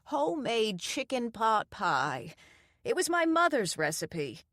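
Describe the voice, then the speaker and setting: A woman voicing a cheerful, fussing elderly woman who dotes on her guest, in a high, loud, slow, doting voice. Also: big pitch swings, no echo, clean studio sound, exaggerated voice. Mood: bustling, chatty.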